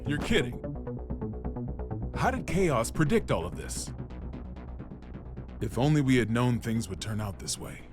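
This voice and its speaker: A man's voice: mid-range voice, sing-song, a little slow, pauses often, over music. An actor playing an anxious, earnest male president in an anime game cutscene. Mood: dismayed.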